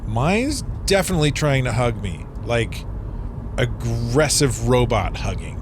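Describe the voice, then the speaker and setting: A man's voice: medium pitch, big pitch swings, a little slow, background noise. A man playing a goofy carefree passenger. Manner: playful, rambling, upbeat. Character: Carl, goofy carefree male chaos passenger. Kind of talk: comedy audio drama.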